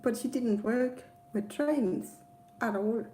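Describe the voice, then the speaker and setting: A woman with a Danish accent, a native Danish speaker, telling a personal travel story casually in a hesitant, rambling way with frequent pauses. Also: a little high, warm tone, a little hiss or hum. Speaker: travel vlogger.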